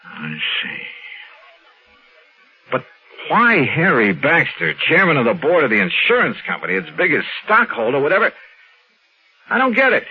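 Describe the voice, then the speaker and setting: An American actor playing a tough, skeptical insurance investigator in clipped, sardonic 1950s radio drama style. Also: male voice, on the high side, huge pitch swings, moderate pace, pauses often. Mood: sober, puzzled. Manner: clipped, sardonic, hard-boiled. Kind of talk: 1950s radio drama acting and first-person narration. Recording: dull vintage radio recording, music behind.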